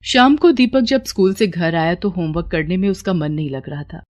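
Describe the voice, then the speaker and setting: A woman's voice: lowish voice, normal speed, no room sound. A woman narrator reading a scripted moral story.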